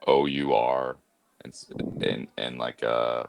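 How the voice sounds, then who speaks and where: A man's voice: a bass voice, fairly even intonation, slow, gravelly, noisy background. A Canadian man in his thirties with a Midwestern accent, chatting casually as a guest and thinking aloud with lots of hesitant fillers. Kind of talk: casual conversation.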